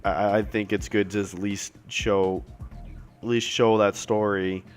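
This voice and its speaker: A man recording a casual update video, a little raspy from a cold, rambling with many fillers and restarts, apologetic and appreciative. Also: fairly low pitch, level tone, measured pace, faint music behind. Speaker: self-published author giving updates.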